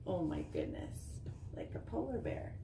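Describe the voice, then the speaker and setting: A woman reading a picture book aloud to children, expressive and singsong, with chatty asides, recorded indoors on a camera a short distance away. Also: on the low side, moderate pace, creaky. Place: living room indoors. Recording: roomy, distant camera sound with faint noise.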